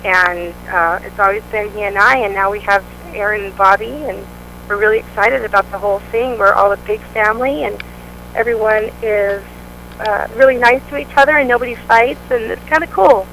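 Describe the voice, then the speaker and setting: A woman's voice: medium pitch, moderate pace, fairly bright tone, slight background noise, poor audio. A woman, the singer of a band, answering interview questions in an upbeat, chatty way with many fillers. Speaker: band vocalist, interview guest.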